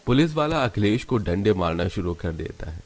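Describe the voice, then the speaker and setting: Narrator for animated family drama: male, deep register, scripted storytelling delivery. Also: normal speed.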